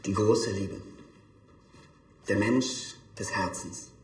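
A man's voice: on the low side, steady tone, slow, a little hiss or hum. An actor playing a taciturn, solemn man in the funeral trade in a TV crime comedy, speaking tersely in an echoey hall. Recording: echoey hall, long reverb.

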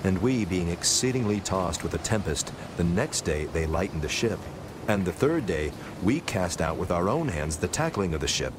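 A man narrating scripture from a script in a measured, solemn manner, as part of a dramatized reading. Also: deep voice, sing-song, noisy background.

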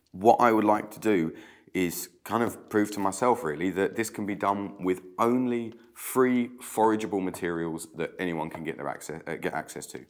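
A man in his thirties with a very low voice talks through a craft tutorial in a chatty way while his hands keep weaving. He is getting over a cold, so his voice sounds croaky. He is recorded in an old stone-walled room by a camera set across the table.

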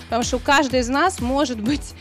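A woman's voice: sits high, quick pace, fairly bright tone, faint music behind. A woman who is a permanent makeup trainer, telling her story in a reflective, hesitant way. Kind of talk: personal story.

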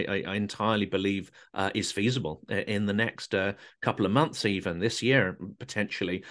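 Man, middle-aged, interview host, measured delivery, video call indoors. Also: medium pitch, fairly bright tone. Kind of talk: interview questions. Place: indoor room.

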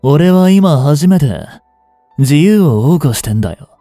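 An actor playing a cocky, rough-tongued young male delinquent in a Japanese audio drama, with brash, slangy tough-guy talk. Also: fairly high pitch, expressive, a little slow, dark tone, dry recording, faint music behind. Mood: bitter, proud. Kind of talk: Japanese audio drama acting, one-sided dialogue to the listener.

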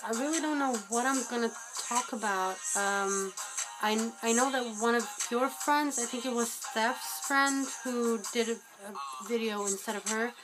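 A woman's voice: on the high side, normal speed, over music, rough recording. A woman in her twenties, originally from Albania, rambling through an unplanned vlog into a webcam with lots of fillers and restarts, poking fun at herself. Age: 20s. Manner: rambling, self-deprecating, many fillers and restarts. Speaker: guest vlogger. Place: small dim room indoors. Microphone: webcam at close range.

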